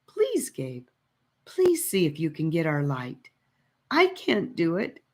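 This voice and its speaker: A woman's voice: low voice, huge pitch swings, slow pace, darkish tone, exaggerated voice. A woman voicing an anxious young girl adventurer in an audiobook, pleading and emotional. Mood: urgent, insistent.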